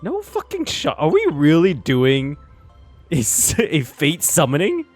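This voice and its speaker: A man's voice: a little high, huge pitch swings, measured pace, a little mellow, no echo, faint music behind. A man doing casual live reaction commentary on a game as a streamer, slangy and sweary, often repeating his phrases.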